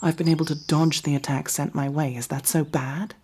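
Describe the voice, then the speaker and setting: A woman playing a proud but nervous young elf rogue in an ASMR roleplay, with wry, halting delivery. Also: sits low, steady tone, a little mellow, husky. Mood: wry, defensive.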